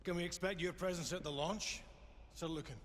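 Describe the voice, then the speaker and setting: An actor playing a courteous, eager gentleman lord, speaking politely and formally in a game cutscene. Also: fairly high pitch, steady tone, brisk, lightly acted. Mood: polite interest. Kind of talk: dramatic video game cutscene acting. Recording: echoing room, faint noise.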